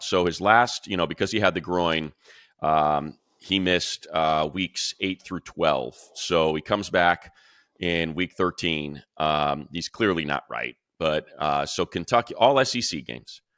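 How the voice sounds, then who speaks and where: Deep-voiced man in casual sports talk. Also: dry recording, studio quality. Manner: animated, talks in quick bursts with restarts. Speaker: radio play-by-play announcer. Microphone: video call.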